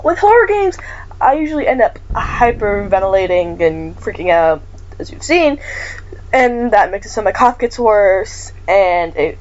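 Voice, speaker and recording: A woman's voice: a little high, a little mellow, lo-fi sound. A woman hosting a casual let's-play chat, apologetic and chatty, still getting over a cough. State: recovering from a cough. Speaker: gaming channel host.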